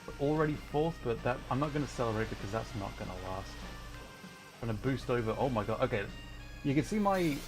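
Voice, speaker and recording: Male game streamer, mid-pitched, chatty and excitable, casual live commentary, clear game music behind. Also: fairly quick, low-quality recording. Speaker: video game livestreamer. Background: video game music.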